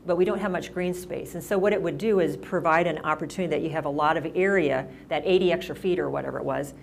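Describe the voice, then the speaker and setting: Low-voiced woman mayor, rambling. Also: vocal fry, a little hiss or hum. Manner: rambling, many restarts and fillers. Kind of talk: casual town-hall talk. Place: senior center room.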